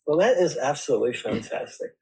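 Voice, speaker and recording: A man's voice: a little high, very animated, fast talker, warm tone, breathy edge. A man hosting an interview podcast, hesitant with lots of fillers, jokey. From United States. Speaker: podcast host.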